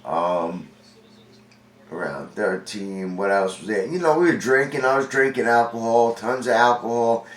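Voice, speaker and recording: A man's voice: lowish voice, expressive, unhurried. Congested man, far from the mic. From Long Island, New York. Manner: rambling, profane, streetwise. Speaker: vlogger. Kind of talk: casual storytelling monologue. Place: indoor room with a window. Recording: roomy, distant from mic.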